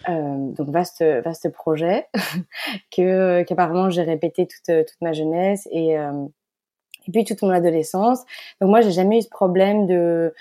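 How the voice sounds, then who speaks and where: A woman's voice: lowish voice, a little slow, mellow. A woman in her late twenties with a Belgian accent, a brand founder chatting openly as a podcast guest, correcting herself as she goes. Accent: Belgian French. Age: 20s. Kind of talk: conversational podcast interview.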